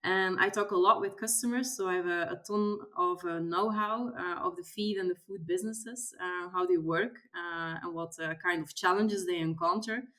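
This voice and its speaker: Belgian woman, slightly low voice. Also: steady tone, normal speed, few pauses, fairly bright tone. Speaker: podcast guest, software industry expert. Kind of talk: conversational interview, explanatory.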